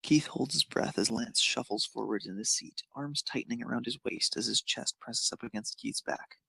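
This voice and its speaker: A man's voice: medium pitch, normal speed, gravelly, bright, forceful tone, husky, dry recording. A man reading scripted narration of a romantic fan fiction story.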